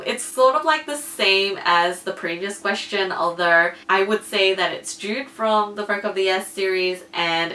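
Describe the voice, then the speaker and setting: A woman's voice: medium pitch, sharp tone. A woman book vlogger chatting casually to camera in a self-conscious, slightly giggly way. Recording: roomy echo, distant from mic.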